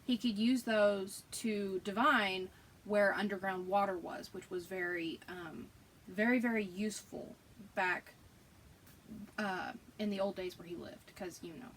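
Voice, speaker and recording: A woman's voice: mid-range voice, steady tone, measured pace, a little hiss or hum, poor audio. A woman with a Southern US accent chatting casually as a co-host, self-deprecating and rambling as she tells stories. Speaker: podcast co-host. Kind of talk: casual chat.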